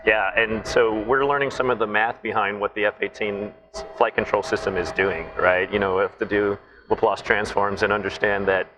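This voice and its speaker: A middle-aged man who grew up in Southern California, calmly explaining technical ideas in an interview and often checking in with "right?". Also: lowish voice, moderate pace, hardly any pauses, lo-fi sound, music in the background. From Taiwan, raised in Southern California. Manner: thoughtful, explanatory, with frequent "right?" tags. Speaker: naval flight test officer, guest. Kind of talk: interview conversation.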